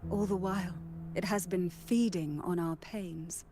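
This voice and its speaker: Slow-spoken, wise matriarch. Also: female voice, fairly low pitch, steady tone. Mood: solemn, sorrowful. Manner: solemn, deliberate storytelling. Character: wise, sorrowful matriarch guarding ancient truths. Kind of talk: scripted video game dialogue. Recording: game audio under loud music.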